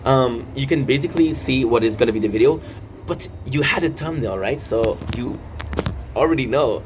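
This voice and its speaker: A man in his thirties with a mid-pitched voice hosts a casual, rambling vlog. He is playful and often interrupts himself with jokey asides. There is clear noise behind his voice. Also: moderate pace. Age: 30s. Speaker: video host.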